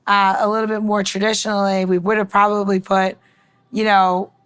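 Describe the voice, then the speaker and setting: A woman's voice: medium pitch, little pitch movement, normal speed, a bit bright, clean tone. A woman mixologist giving a casual, informal demonstration, chatting and explaining as she goes. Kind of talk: casual cooking-style demonstration.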